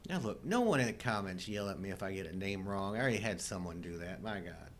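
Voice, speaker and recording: A man's voice: sits low, expressive, fast talker, a little hiss or hum. A man of about fifty co-hosting a casual film chat, joking and poking fun at himself. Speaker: co-host of a live show.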